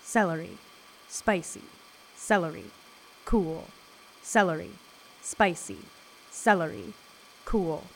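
A dreamy, self-absorbed woman in an audio drama. Her voice sits a little low and she speaks very slowly, in a deadpan rhythm. A faint hiss runs behind her. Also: creaky, heavily acted, low-quality recording. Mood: trance-like chanting.

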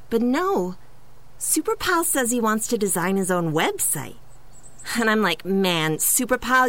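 A candid female assistant to a superhero, acted by a woman in a comic audio monologue. She chats breezily and slangily, her higher-pitched voice moving up and down freely. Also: fairly bright tone. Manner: casual, breezy storytelling with slangy phrasing. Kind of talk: comedic monologue in audio drama.

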